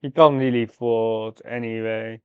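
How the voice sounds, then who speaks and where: A man live-streaming a game, thinking aloud through his moves in a halting, fragmented way. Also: on the low side, fairly even intonation, clean tone, dry recording, phone-quality sound. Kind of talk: live mahjong gameplay commentary, thinking aloud.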